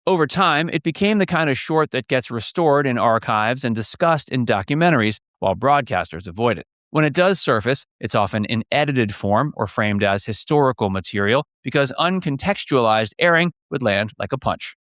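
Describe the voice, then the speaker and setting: A man's voice: medium pitch, expressive, normal speed, hardly any pauses, fairly bright tone, dry recording, studio quality. A man reading a scripted, documentary-style narration for a list video.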